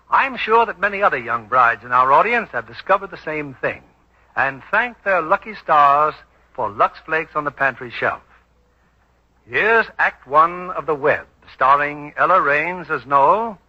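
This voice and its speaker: A man's voice: a little high, sharp tone. A man hosting and narrating a 1940s radio drama in a scripted announcer's style. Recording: dull 1940s radio recording.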